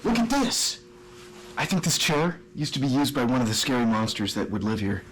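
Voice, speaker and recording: A man voicing a goofy male monster hunter in an indie horror game cutscene, slow and mock-dramatic, talking to his viewers. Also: mid-range voice, big pitch swings, noisy background, close to an everyday voice. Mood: mock-spooky.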